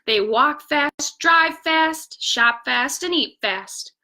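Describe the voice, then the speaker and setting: Woman reading as brisk, fussy storybook mom, bright. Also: high voice, expressive, exaggerated voice. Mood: concerned.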